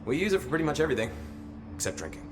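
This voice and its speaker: A man's voice: a little high, big pitch swings, rapid-fire, noisy background. A man playing a weary, wry security officer in a video game, talking in a casual, grumbling, hesitant way with dry humor. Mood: chatty, candid. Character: weary, foul-mouthed male security officer, wry and chatty. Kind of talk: naturalistic video game dialogue.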